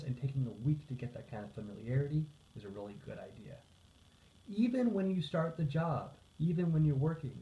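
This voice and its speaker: A man giving an earnest, explanatory informational talk as a counselor and coach, stressing key phrases as he goes. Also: mid-range voice. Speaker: psychotherapist, social worker and coach. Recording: echoey room, slightly dull sound.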